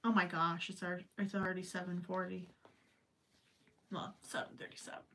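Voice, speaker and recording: A woman's voice: lowish voice, flat delivery, normal speed, sharp tone, far from the mic, slight background noise. A young woman with a Minnesota accent chatting casually while crocheting on a livestream, rambling with joking asides. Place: small indoor craft room with yarn shelves.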